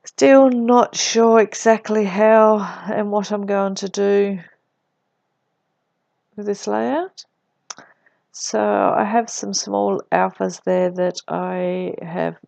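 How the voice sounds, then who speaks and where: A woman with a cold, her voice croaky, deep and husky, narrating her scrapbooking in a relaxed voice-over. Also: no echo, highs cut off. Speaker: scrapbooking vlogger.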